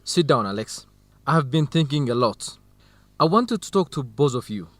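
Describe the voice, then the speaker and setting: An earnest young student in a radio drama for learners. His pitch is mid-range but moves about expressively, and every word is carefully placed. The recording is close and dry. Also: very animated, moderate pace, dry recording. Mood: calm, resolved. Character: earnest young male Ethiopian university student in love.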